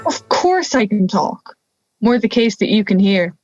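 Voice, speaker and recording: Unhurried, dull-sounding wry talking wolf played by a woman. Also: medium pitch, sing-song, darkish tone, faint music behind. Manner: indignant, teasing. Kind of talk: audio drama acting.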